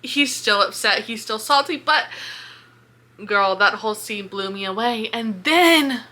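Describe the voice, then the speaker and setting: Woman, bright forceful high voice, casual book chat, expressive, slangy, sweary. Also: unhurried. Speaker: book reviewer and vlogger.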